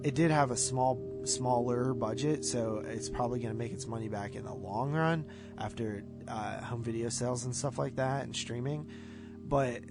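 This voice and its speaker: A man's voice: medium pitch, a little mellow. A man co-hosting a podcast, talking through a movie review in a rambling, informal way. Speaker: podcast co-host. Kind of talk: casual movie review chat.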